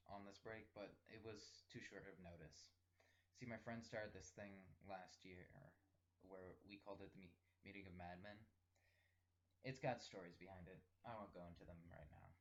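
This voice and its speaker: Teenage male, low-pitched voice, casual audio diary, halting delivery, short rambling phrases. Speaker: personal audio log host.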